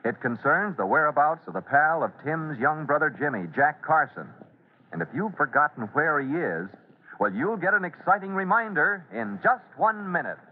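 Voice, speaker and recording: A man's voice: on the high side, lively intonation, normal speed. A man narrating a 1940s-style radio serial as its announcer, dramatic and declamatory. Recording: tinny, noisy old radio broadcast.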